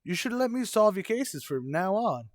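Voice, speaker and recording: Man putting on a chipper, high-pitched police clerk. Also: big pitch swings, normal speed, no echo, studio quality. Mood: cocky. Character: chipper, cocky male police stolen-car clerk. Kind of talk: 1940s radio drama acting.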